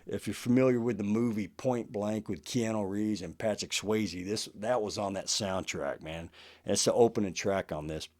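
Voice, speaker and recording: A man talking casually to camera as the host of a collection show, in a laid-back, conversational way with "man" and "you know" sprinkled in. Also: fairly low pitch.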